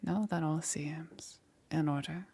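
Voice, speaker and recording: A woman playing a soft-spoken, secretive witch in an intimate ASMR roleplay, soothing and hesitant, often dropping into a whisper. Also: low voice, steady tone, slow, full character voice. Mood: careful, attentive. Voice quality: breathy, husky, often whispering.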